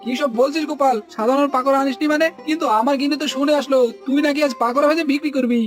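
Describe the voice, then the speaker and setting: A man's voice: very high voice, fairly even intonation, very fast. A man voicing a bossy, jealous rival village vendor in a cartoon, brash and exclaiming. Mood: baffled. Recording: music bed behind the voice.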